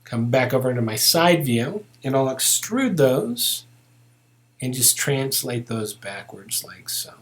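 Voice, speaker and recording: A man's voice: mid-range voice, sing-song, a little slow, a bit bright. Man narrating a casual step-by-step tutorial. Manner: casual, thinking aloud. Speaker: software tutorial instructor.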